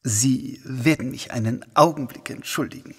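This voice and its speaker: A man acting a smug, callous wealthy gentleman in a German audio drama, with affected, mock-courteous theatrical delivery. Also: medium pitch, normal speed, breathy edge, in a large hall. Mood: smooth, guarded.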